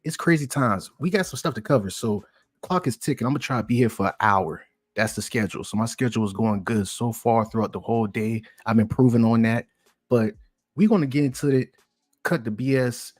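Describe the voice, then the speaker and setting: A man's voice: medium pitch, brisk, no room sound, clean studio sound. Laid-back man hosting a livestream. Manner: laid-back, slangy, talks to chat. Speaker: livestream commentary host. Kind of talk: casual livestream chat.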